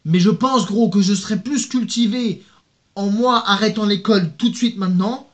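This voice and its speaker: A man's voice: high voice, normal speed. Slangy young man ranting to camera. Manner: animated, slangy, addresses viewer with "tu vois" and "gros"; reads out hostile comments, many fillers and restarts. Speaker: YouTuber. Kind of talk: casual rant to camera.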